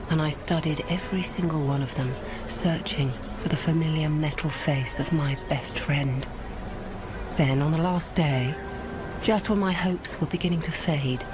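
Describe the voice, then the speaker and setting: An actress plays a woman lawyer narrating a radio drama. Her voice is low, her storytelling measured, earnest and reflective, with music underneath. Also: close to an everyday voice. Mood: nostalgic.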